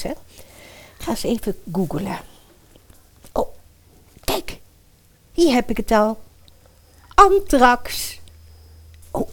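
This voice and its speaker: An actress playing a spiteful, scheming stepmother in a comic fairy-tale audio drama, muttering and exclaiming to herself. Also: medium pitch.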